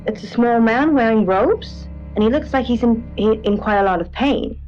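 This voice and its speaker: An actress playing a polite, tentative co-host of a spooky radio show, chatting in an understated, natural way. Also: female voice, fairly high pitch, moderate pace, a little mellow, close to an everyday voice. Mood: tentative, uneasy. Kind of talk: conversational late-night radio banter. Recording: dull lo-fi radio sound over music. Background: background music.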